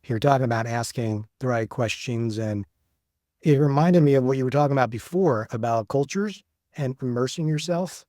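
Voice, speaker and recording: A man's voice: medium pitch, normal speed, a little mellow, no room sound, clean studio sound. A man hosting a leadership podcast, asking curious, conversational questions. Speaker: podcast host. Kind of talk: interviewer questions.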